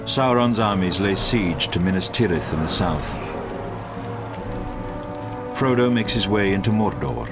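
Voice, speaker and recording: A man's voice: sits low, animated, a little slow, pauses often. A man narrating an epic fantasy war game in a grave, portentous, scripted storytelling manner. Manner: solemn, portentous. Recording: loud music under the voice. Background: loud music.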